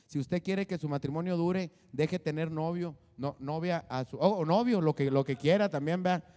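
Man in his 40s, high voice, crude joking host, lingering reverb. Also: normal speed, warm tone. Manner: rowdy, crude joking, many fillers. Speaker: comedian and show host. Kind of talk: casual comedic monologue. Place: small studio set with drum kit behind a desk. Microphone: handheld mic.

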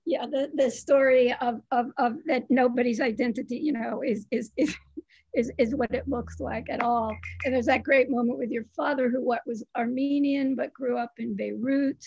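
A woman interviewing an artist, speaking haltingly with many restarts. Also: on the high side, fairly even intonation, vocal fry, darkish tone, slightly rough, highs cut off. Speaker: interviewer, editor. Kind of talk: conversational art interview.

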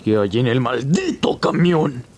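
Man playing an irritable cartoon gangster cat, slow-paced. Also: mid-range voice, big pitch swings, darkish tone, slight background noise. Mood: menacing. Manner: impatient, threatening, sardonic. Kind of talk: animated fan comic dub.

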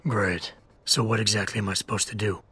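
Stoic, terse game operative. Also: male voice, fairly low pitch, fairly even intonation, fairly quick, bright, forceful tone. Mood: terse, guarded. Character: stoic, determined augmented male security operative. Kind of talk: video game cutscene dialogue.

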